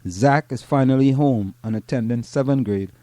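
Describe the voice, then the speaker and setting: A middle-aged Trinidadian man reads a news piece aloud and then drifts into casual chat, often stumbling and repeating words as he goes. His voice is dark and mellow, and the recording is dry with almost no room sound. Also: medium pitch, normal speed, dry recording. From Trinidad and Tobago. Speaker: podcast co-host.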